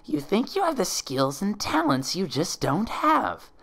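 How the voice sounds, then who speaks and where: A man voicing a cruel, mocking female impostor pony who speaks with slow disdain in a story reading. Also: high voice, huge pitch swings, a bit bright, pitched above the performer's own voice, full character voice. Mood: cruel taunting. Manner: disdainful, slow taunting, hissing.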